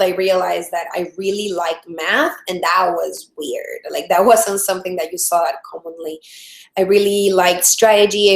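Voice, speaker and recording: Woman with a Salvadoran Spanish accent. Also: medium pitch. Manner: friendly, many fillers and restarts. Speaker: product strategist, webinar host. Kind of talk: live webinar training. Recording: roomy echo.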